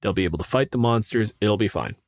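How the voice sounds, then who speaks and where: A man's voice: on the low side, fast talker, no echo, studio quality. A man co-hosting a podcast as a game master, chatting casually and bluntly about tabletop rules, with opinions and plenty of swearing.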